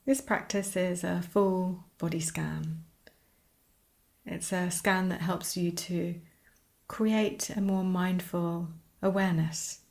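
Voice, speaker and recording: A woman teaching a guided meditation, speaking soothingly in short, unhurried phrases with pauses. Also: fairly low pitch, vocal fry, a little mellow.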